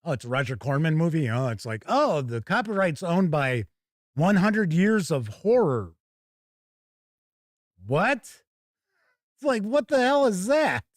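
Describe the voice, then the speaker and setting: Higher-pitched middle-aged man, chatty host. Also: very animated, takes it slowly, long pauses, no room sound, studio quality. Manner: rambling, chatty, informal with mild swearing. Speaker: host of a tabletop gaming livestream. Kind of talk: casual livestream chat and product first look.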